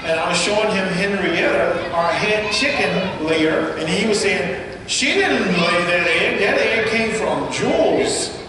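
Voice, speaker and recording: A man with a high voice chats his way through an anecdote. The recording is distant and very reverberant, with clear noise and ambience around him. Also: lively intonation, measured pace. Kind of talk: casual anecdote telling. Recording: noisy echoey hall playback from far off.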